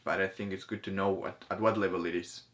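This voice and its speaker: A man talking casually as host of a discussion, haltingly, often repeating words and restarting his sentences. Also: low-pitched, fairly even intonation, a bit bright, a little hiss or hum. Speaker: host of a spirituality film discussion. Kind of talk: casual conversational commentary.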